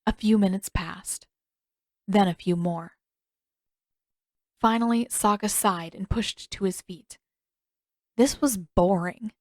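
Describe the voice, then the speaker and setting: A woman reading scripted fiction as an expressive audiobook narrator. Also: mid-range voice, slow, long pauses, no echo. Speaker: narrator and author.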